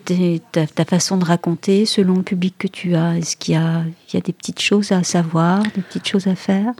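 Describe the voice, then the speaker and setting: A woman's voice: on the low side, fairly even intonation, normal speed, darkish tone. A woman interviewer asking questions in an informal, conversational way.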